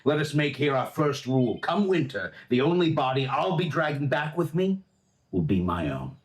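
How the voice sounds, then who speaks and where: A man reading the part of a gruff, unleashed Arctic frontiersman at a script table read, with a husky voice. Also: mid-range voice, very animated, normal speed, natural voice. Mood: gruff, commanding. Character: gruff wild Arctic frontiersman John Ray.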